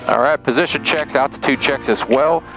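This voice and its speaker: A man's voice: mid-range voice, brisk, creaky, a bit bright, low-quality recording. A man speaking as a pilot on a headset in a small plane, switching between joking casual chat and clipped radio calls. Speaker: pilot and vlog host. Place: small twin-engine airplane cockpit in flight. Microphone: aviation headset. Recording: engine drone and music behind.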